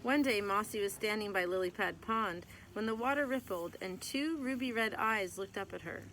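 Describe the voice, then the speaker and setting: A woman's voice: mid-range voice, normal speed, fairly bright tone. Woman reading a storybook, noisy background. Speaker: teacher reading aloud to a class. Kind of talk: picture book read-aloud, scripted narration. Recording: clear background noise.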